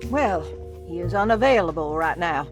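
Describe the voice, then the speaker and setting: Stern matron, swooping voice. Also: female voice, fairly low pitch, lively intonation, moderate pace, over music. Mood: curt, flustered. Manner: officious, curt, commanding. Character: stern, flustered woman guarding a private institution. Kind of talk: cinematic game voice acting.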